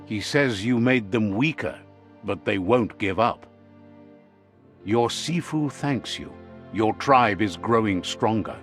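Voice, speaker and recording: A man's voice: fairly low pitch, slow. A man narrating a video game in a wry storybook style, guiding the player along. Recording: music playing under the voice. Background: game music.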